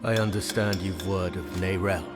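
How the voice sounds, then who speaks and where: An actor playing a blunt, driven wandering hero in a game cinematic, speaking in short, confrontational lines. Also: male voice, low voice, fairly even intonation, a little fast, natural voice. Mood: earnest. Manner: terse, confrontational. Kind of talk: video game cinematic acting. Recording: loud music behind the voice.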